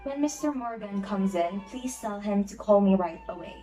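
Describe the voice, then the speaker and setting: A woman acts out an excitable society matron in an amateur one-act play. She is brisk, fretful and used to giving orders, in a mid-range voice over music. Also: medium pitch, lightly acted. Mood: urgent, commanding. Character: fussy, excitable, fashionable society hostess and mother. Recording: music behind the voice.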